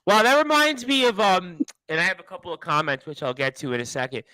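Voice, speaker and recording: A talkative man hosts a wrestling chat show, his voice sitting a little high for a man. He rambles and circles back on his questions. The sound is dry and close, with no room around it. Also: fast, bright, forceful tone, no room sound, low-quality recording. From Brooklyn, New York. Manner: chatty, rambling, repeats himself. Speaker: radio show host. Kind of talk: casual interview chat.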